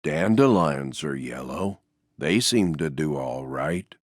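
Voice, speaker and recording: A man voicing a gloomy, mild-mannered toy donkey for a video game, with a deep, gravelly voice and a glum, resigned, self-deprecating drawl. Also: expressive, dry recording, studio quality, heavily acted. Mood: glum, grateful. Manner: slow, glum, resigned drawl with downcast understatement. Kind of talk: video game character voice lines.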